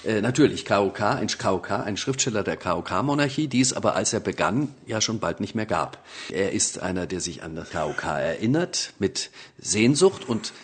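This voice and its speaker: A man talking about his life as an author and translator in a thoughtful, measured way, with frequent hesitations. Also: lowish voice, big pitch swings, breathy edge. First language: German. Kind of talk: conversational interview.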